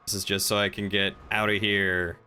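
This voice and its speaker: A man with a smooth, clear voice on the lower side. He sounds bright and crisp as he chats through a game stream, improvising roleplay with polite hesitation. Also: little pitch movement, moderate pace.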